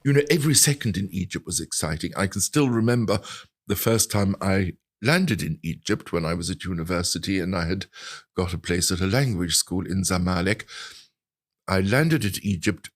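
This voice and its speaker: An older man of about seventy with an English accent, telling anecdotes in a reflective, articulate and measured way as an interview guest. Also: low voice. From England. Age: older, around 70. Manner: articulate, measured storytelling with precise wording. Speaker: interview guest, translator and writer.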